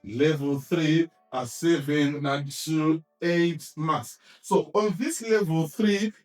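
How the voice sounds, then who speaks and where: A man teaching an exam-technique lesson, explaining in a halting way and repeating key phrases for emphasis. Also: on the high side, recorded from a distance, faint music behind. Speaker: history teacher.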